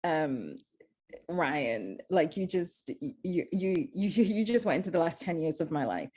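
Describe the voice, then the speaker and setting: A woman's voice: deep voice, very animated, measured pace, mellow, breathy edge. A woman from Zambia, speaking earnestly as a panelist and often restarting her sentences. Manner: earnest, searching, many restarts. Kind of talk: panel discussion.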